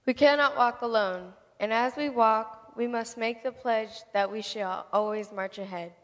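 A woman's voice: a little high, a little slow. A woman reciting oratory theatrically as a reader in a staged presentation. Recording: echoing hall with long reverb.

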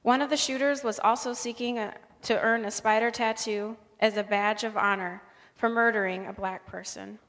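A woman reading a scripted formal speech in an earnest, measured way. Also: on the high side, fairly even intonation, fairly bright tone. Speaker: speaker at a convocation. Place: large indoor hall. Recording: reverberant hall.

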